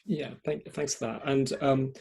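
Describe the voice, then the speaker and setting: A man moderates an informal panel in a very husky, creaky voice. His tone is mellow, and he phrases things in a hesitant, stop-start way. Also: medium pitch, fairly even intonation, a little slow. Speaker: host of online writers' event.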